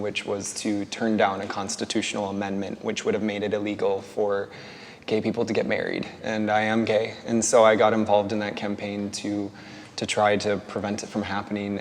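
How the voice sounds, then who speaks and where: A man in his twenties from Minnesota, calmly recounting his experience in a group discussion. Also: fairly low pitch, a little hiss or hum. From Minnesota, USA. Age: 20s. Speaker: documentary filmmaker, discussion participant. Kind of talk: debate discussion. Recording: roomy hall reverb, faint ambience.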